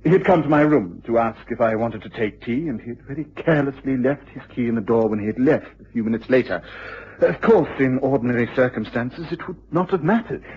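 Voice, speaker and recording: An excitable English don in a vintage radio drama. His voice is very rough and husky, his delivery formal, exact and agitated. The old recording is muffled and lo-fi. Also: medium pitch, fairly quick. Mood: anxious, earnest. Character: agitated, excitable male university lecturer, gaunt and anxious. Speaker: actor in a radio play. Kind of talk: period BBC radio drama acting.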